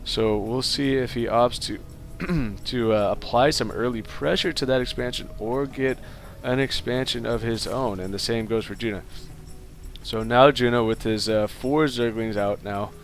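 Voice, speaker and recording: A man with a congested, stuffed-up voice calls a strategy match on his own, chatty and loose with lots of "uh"s. His pitch sits right in the middle for a man, and his play-by-play runs on in quick bursts of commentary. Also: faint music behind. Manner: casual play-by-play, many fillers, rising excitement in fights. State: stuffed-up nose. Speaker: esports caster. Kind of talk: solo game commentary.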